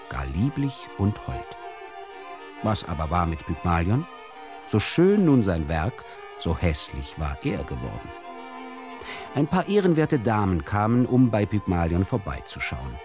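A man narrating short literary stories for a radio drama in a dry, deliberate storytelling manner. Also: fairly low pitch.

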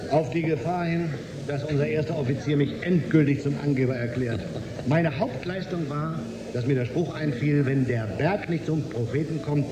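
Actor as a wry physicist in a noisy, roomy space. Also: male voice, a little high, moderate pace, natural voice. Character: wry, self-deprecating male physicist being celebrated for his breakthrough. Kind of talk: German radio drama acting. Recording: roomy, noisy ambience behind.

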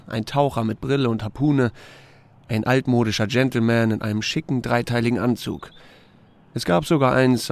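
A man's voice: medium pitch, vocal fry, close to an everyday voice. A man playing a reserved small-town sheriff in a German radio drama, narrating and speaking in terse, understated lines.

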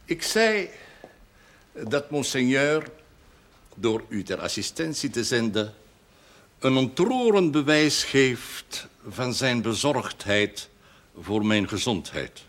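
An older man playing a pompous, self-satisfied parish priest in a television drama, speaking in ornate, deliberate phrases. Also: mid-range voice, sing-song, a bit bright. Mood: pompous, stately.

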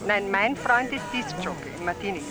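Confiding Austrian small-town caller played by an actress, music behind. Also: female voice, medium pitch, expressive, a little fast, natural voice. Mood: eager, confiding. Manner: hesitant, groping for words, confiding. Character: eager, chatty small-town woman phoning a night radio show. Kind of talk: radio drama dialogue.

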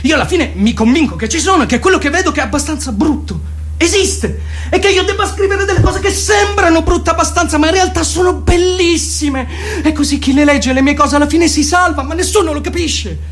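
An actor playing an eccentric, grandiose writer and drinker in a theatrical radio play, rambling and stammering with emphasis. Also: male voice, very high-pitched, a little fast, fairly bright tone, soft background music. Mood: feverish, grandiose. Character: eccentric, grandiose male writer, hard-drinking, self-absorbed dreamer.

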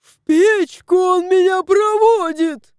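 A woman's voice: high voice, lively intonation, slow, warm tone, no echo, clean studio sound, pitched above the performer's own voice. A woman playing a yowling dramatic tomcat. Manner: yowling, theatrical. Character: fat tomcat, dramatic and demanding.

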